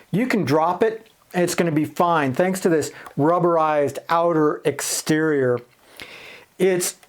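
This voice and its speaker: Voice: medium pitch, a little mellow. A reviewer giving a casual, plain-spoken, conversational product review to camera.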